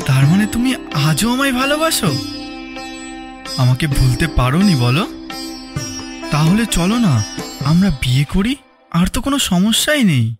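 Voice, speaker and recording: A man's voice: sits high, a little slow, long pauses. A man playing an eager, lovelorn young man in a cartoon drama, earnest, pleading and emotional. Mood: hopeful, pleading. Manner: pleading, excitable, emotional delivery. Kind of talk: dramatic audio story acting. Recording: music under the voice.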